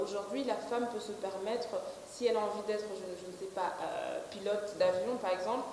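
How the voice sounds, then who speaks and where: A woman's voice: medium pitch, a little mellow. Woman, twenties, company director; hesitant interview answers; roomy reverb. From Belgium. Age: 20s. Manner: hesitant, many fillers and restarts. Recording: echoey room with faint hiss.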